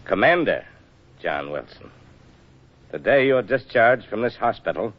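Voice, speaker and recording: An actor playing a gruff older navy officer, formal and measured, in a 1940s radio drama. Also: male voice, lowish voice, big pitch swings. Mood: stern, deliberate. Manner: stern, measured, formal delivery. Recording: dull vintage 1940s radio recording.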